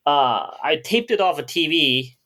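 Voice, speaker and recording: A man's voice: a little high, lively intonation, unhurried, bright, forceful tone. A man co-hosting a podcast, chatting and reminiscing in a casual way. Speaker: podcast co-host.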